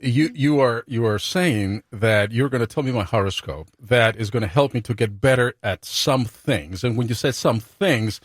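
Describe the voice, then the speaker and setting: A man's voice: medium pitch, moderate pace, hardly any pauses, dry recording, clean studio sound. A middle-aged man hosting a talk show, playfully bantering, laughing often and stumbling over repeated words. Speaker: show host.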